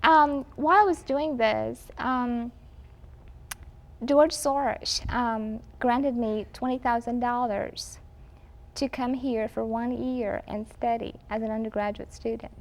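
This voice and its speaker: A woman in her twenties from Romania gives interview answers, measured and paced by frequent "um" and "uh" pauses. Her voice sits a little high and carries a strong gravelly fry. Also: fairly even intonation. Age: 20s. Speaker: university professor and interview guest. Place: campus film set, indoors.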